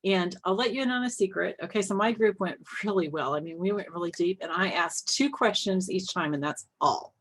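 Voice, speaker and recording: A woman's voice: mid-range voice, fairly quick, few pauses, fairly bright tone, a little hiss or hum. A woman co-hosting a Bible study lesson, chatting in a friendly, confiding way and laughing easily. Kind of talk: casual teaching conversation.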